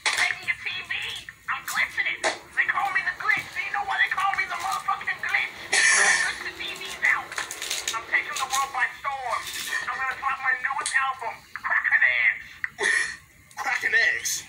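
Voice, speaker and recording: A woman's voice: sits high, little pitch movement, normal speed, poor audio. A woman voicing a boastful, scheming mad scientist villain in a comedy fan dub, crude and ranting. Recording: fan dub with loud music behind.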